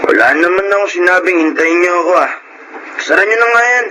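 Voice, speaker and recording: High-voiced bitter Filipino son, noisy audio. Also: male voice, animated, brisk. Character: bitter, resentful, defiant young son. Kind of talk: Filipino radio drama acting. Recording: noisy radio drama audio.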